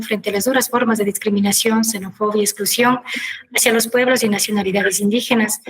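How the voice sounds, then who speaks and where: Even-toned Kichwa-speaking woman. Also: fairly high pitch, low-quality recording. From Ecuador. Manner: measured, earnest storytelling. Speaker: guest. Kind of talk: personal testimony in a panel.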